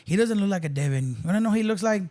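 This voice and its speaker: A man's voice: high-pitched, animated, rapid-fire, dark tone. A man from South Texas chatting casually as a podcast guest, joking and laid-back, dropping Spanish words.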